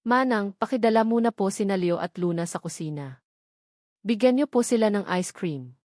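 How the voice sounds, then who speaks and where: Woman voicing a calm, firm, caring man. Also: medium pitch, normal speed, no echo, studio quality, natural voice. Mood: gentle, reassuring. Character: steady, caring, serious man in love story. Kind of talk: dramatic storytelling dialogue.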